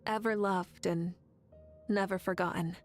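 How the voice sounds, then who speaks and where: Woman as composed young war leader lady, slow n deliberate, resolute, game music under it. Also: mid-range voice, lightly acted. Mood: calm resolve. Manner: calm, deliberate. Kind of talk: video game voice acting.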